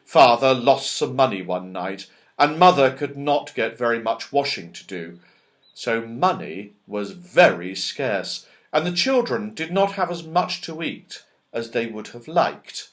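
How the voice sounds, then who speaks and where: Animated man reading a children's storybook. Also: mid-range voice, measured pace. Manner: expressive, dramatic emphasis. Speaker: audiobook reader.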